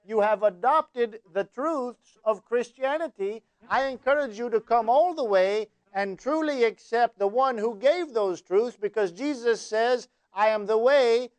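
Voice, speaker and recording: A high-pitched man with a smooth, clear voice hosts a live TV call-in debate. He speaks insistently, in a preacherly way, quoting scripture. The sound is dry and close, from a studio. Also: dry recording. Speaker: TV show host.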